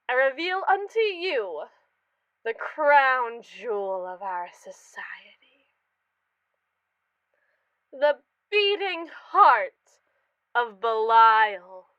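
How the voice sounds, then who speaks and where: A woman performs as a callous, self-important female space magnate in an audio roleplay. Her voice is bright and high, with grand theatrical swoops and open condescension. She takes her time over each pronouncement, like a ruler addressing lowly subjects. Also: big pitch swings, extremely slow, pauses often, dry recording, dull audio, heavily acted. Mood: grandiose. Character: haughty, callous female space mogul lording over colonists. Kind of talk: scripted ASMR roleplay monologue.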